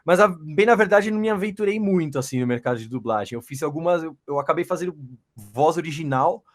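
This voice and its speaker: A Brazilian man with a fairly high voice talks casually as a guest. He is a voice-over professional, and he speaks in a halting way, often repeating words as he finds his thought. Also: expressive. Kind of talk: casual chat, telling his own experience.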